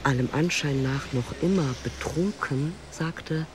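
A man performing a measured, observant first-person literary narration in a radio play. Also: on the high side, music in the background, close to an everyday voice. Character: man recounting an odd night and morning, observant.

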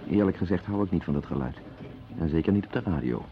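An actor playing a nervous, reclusive henpecked husband in a radio play, with a hesitant, apologetic, wry manner. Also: male voice, deep voice, lively intonation, fast talker, creaky, heavy background noise. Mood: wry, resigned. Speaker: radio play narrator and lead. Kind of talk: radio drama monologue and dialogue. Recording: dull lo-fi radio play, music behind.

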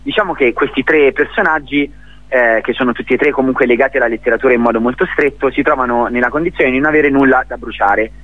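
A man from Italy giving a spontaneous radio interview as a theatre director, speaking haltingly and repeating words as he searches for phrasing. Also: medium pitch, no echo, narrow, tinny audio.

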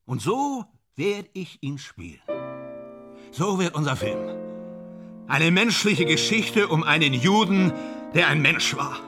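A man's voice: on the high side, big pitch swings, slow, long pauses, music in the background. A man in a German radio play, playing a grandiose, self-deluding film actor who declaims in exalted, repetitive phrases.

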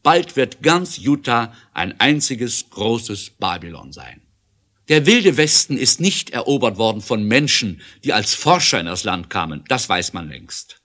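Male audio-drama narrator, declamatory and emphatic, medium register with wide expressive pitch movement. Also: measured pace. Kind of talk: dramatic scripted radio play narration.

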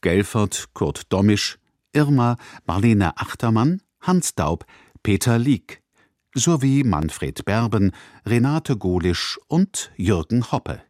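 Slow, low-voiced male radio announcer. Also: sing-song, a little mellow, no echo, clean studio sound. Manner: formal, measured. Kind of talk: scripted credits announcement.

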